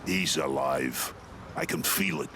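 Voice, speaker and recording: An actor playing a suspicious, moody alien club leader in a video game, speaking in a guarded, grave manner. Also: male voice, a bass voice, huge pitch swings, slow, vocal fry, background noise, heavily acted. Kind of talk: video game cutscene acting.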